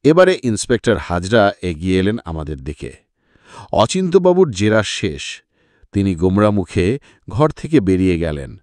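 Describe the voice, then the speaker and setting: Male audiobook narrator, slightly low pitch, expressive intonation, scripted storytelling delivery, very dry recording. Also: normal speed, no echo.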